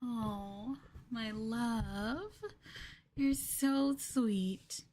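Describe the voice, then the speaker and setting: A woman playing a sweetly possessive, doting yandere girlfriend in a soft, intimate, breathy ASMR roleplay. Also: fairly high pitch, very slow, a little hiss or hum. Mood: tender, adoring.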